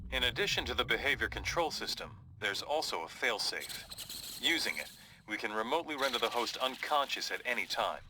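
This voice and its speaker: A man playing a confident superhero leader in a game cutscene, giving measured, authoritative briefings. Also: medium pitch, sing-song, pushed and bright, slight background noise. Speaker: mission briefer.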